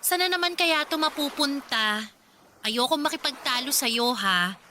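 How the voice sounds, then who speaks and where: A woman's voice: sits high, lively intonation, normal speed, pushed and bright, slight background noise. A woman acting an exasperated, whiny young girlfriend who complains in a radio drama. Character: exasperated, nagging young bar-worker girlfriend.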